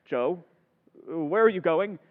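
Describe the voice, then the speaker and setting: An actor playing a cheerful, friendly young gentleman in an old-time radio drama. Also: high-pitched, expressive, takes it slowly, phone-quality sound. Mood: puzzled.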